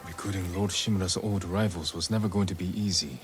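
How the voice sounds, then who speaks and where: A man playing a stoic, determined samurai lord in a video game, speaking in a terse, measured, commanding way. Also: low voice, steady tone, lightly acted. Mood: firm, resolute. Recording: loud cinematic music behind. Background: game score music.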